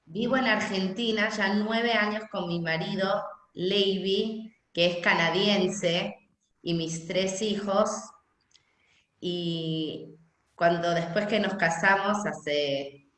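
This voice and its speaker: A young woman with a Venezuelan accent, telling her personal story in an unhurried, conversational way as a guest speaker on a video call. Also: mid-range voice, fairly bright tone. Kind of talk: personal talk at an online gathering. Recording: echoey room on a video call.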